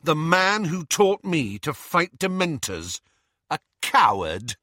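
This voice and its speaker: A man reading a storybook, voicing an angry teenage boy with a sharp, cutting, scornful manner. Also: a little high, very animated, slow, no room sound, clean studio sound, pitched above the performer's own voice, heavily acted. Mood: furious.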